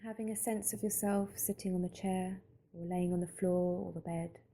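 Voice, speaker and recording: A woman guiding a mindfulness meditation in a calm, gentle, unhurried manner with long pauses between phrases. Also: fairly low pitch, a little hiss or hum. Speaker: meditation guide. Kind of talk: slow guided mindfulness meditation narration.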